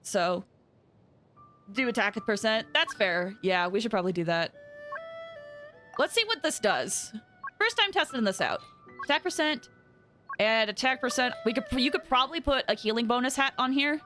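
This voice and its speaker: Animated, high-voiced woman streaming games. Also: moderate pace, pauses often, faint music behind. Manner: excitable, exclamatory, thinking aloud. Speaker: game streamer. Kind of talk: casual livestream gaming chat.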